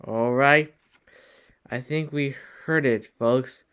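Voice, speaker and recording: A man's voice: mid-range voice, takes it slowly, fairly bright tone, clear, resonant tone. A man playing a local news anchor in an amateur disaster drama, announcing with slight stumbles. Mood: grave. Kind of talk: amateur emergency news broadcast acting.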